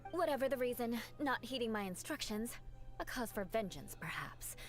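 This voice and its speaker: A woman's voice: fairly high pitch, lively intonation, normal speed, lightly acted. A woman voicing a haughty, elegant young noblewoman knight in an anime game, formal, aloof and teasing. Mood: stern. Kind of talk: anime video game voice acting. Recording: music behind.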